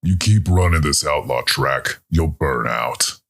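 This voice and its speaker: Male narrator as older space outlaw, extremely low pitch, gravel and fry, weary, fatherly, audio drama. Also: measured pace, a bit bright, heavily acted. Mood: weary urging. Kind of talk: scripted audio story dialogue.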